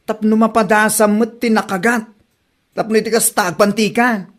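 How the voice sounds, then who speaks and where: A woman's voice: mid-range voice, steady tone, normal speed, close to an everyday voice. A woman with an Ilocano Filipino accent playing a teasing, gossipy village friend in a comedy drama. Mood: teasing, chiding.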